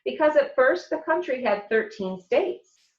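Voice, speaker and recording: A woman's voice: high voice, very animated, measured pace, echoey room, highs cut off, voice pushed up. A woman voicing a patient, friendly male schoolteacher who explains and reassures in a picture-book read-aloud. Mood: patient, explaining.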